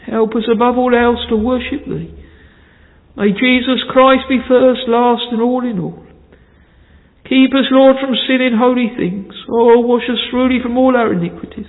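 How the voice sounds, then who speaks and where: A man's voice: very high voice, steady tone, moderate pace. A man leading a fervent, pleading congregational prayer in old-fashioned language in a church. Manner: pleading, fervent, with repeated interjections. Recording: tinny, phone-like sound in a reverberant hall.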